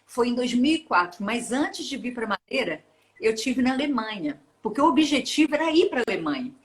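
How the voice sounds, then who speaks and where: A woman in her forties speaks with a Minas Gerais Brazilian accent. She is a guest in a casual interview, and she talks in loose runs with restarts and frequent laughter. Her voice sits a little high. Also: very animated, brisk. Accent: Minas Gerais Brazilian Portuguese. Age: 40s. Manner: chatty, laughs often, rambling with restarts. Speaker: guest, open-water ultramarathon swimmer.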